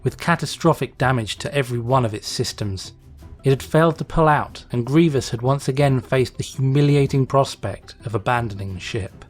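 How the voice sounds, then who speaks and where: Male narrator for dramatic fiction reading, mid-low everyday pitch range, soft music bed underneath. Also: normal speed. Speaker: fan fiction reader, narrator. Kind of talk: dramatic scripted story narration.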